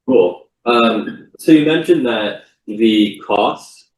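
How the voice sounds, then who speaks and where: A male student with a very deep voice asks the guest a question during a class Q&A. He is speaking over a video call, and his voice echoes in the room around him. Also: lively intonation, drawn-out words.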